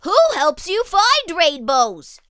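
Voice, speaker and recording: A woman's voice: extremely high pitch, big pitch swings, slow, sharp tone, no room sound, studio quality, exaggerated voice. A woman voicing a small, curious, childlike spot creature who eagerly asks lots of questions in a children's storybook read-aloud.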